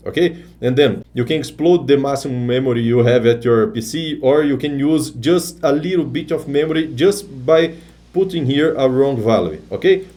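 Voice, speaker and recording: A man's voice: mid-range voice, dark tone. Man. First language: Portuguese. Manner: halting non-native English, tags sentences with "okay?". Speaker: software tutorial presenter. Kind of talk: screen-recorded tech tutorial.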